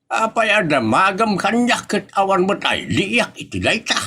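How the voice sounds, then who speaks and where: Bossy, stubborn Ilocano man, acted by a man, high voice, very animated, comedy drama. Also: moderate pace, slightly rough, heavily acted.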